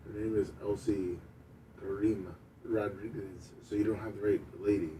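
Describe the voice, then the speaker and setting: A man's voice: on the low side, steady tone, moderate pace, dark tone. A man hosting a radio show in casual live chat. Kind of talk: casual live chat, reading out listener names. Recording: noisy room, distant from mic.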